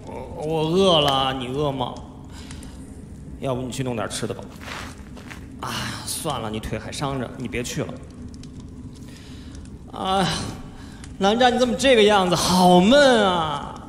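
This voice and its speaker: A male voice actor playing a playful, chatty, feverish young swordsman in a live audio drama, whiny and coaxing with drawn-out words. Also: on the high side, expressive, noisy background. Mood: bored, whiny. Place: large theatre stage, indoors. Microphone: handheld microphone. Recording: echoey large hall, music behind.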